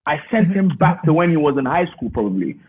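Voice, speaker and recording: A man's voice: a little high, big pitch swings, fairly quick, darkish tone, slight background noise. A man, talking casually and emphatically as an interview guest on a call. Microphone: remote call. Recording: thin phone-like call audio.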